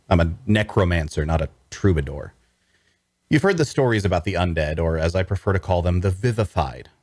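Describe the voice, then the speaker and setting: A man's voice: lowish voice, dry recording, natural voice. An actor playing a sardonic necromancer antihero, narrating his own quest conversationally with dry humor and rhetorical asides. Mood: dry, matter-of-fact. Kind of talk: first-person dramatic narration at a script table read.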